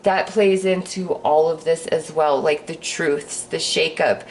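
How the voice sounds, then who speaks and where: A woman with a hoarse voice from a cold, giving a casual, upbeat astrology forecast while pulling tarot cards. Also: low voice, fairly even intonation, a little slow, slight background noise, poor audio. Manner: breezy and upbeat, with sighs and fillers. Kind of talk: casual spiritual forecast with card pulls.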